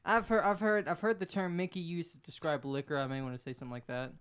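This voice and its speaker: A man's voice: fairly high pitch, steady tone, fast, fairly bright tone, smooth. A man co-hosting a podcast, talking in a casual, conversational way. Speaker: podcast co-host. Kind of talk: casual chat.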